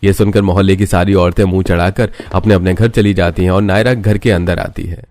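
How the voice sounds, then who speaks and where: Guy with a lowish voice reading narration for a cartoon family story. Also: steady tone, a little fast, a little mellow.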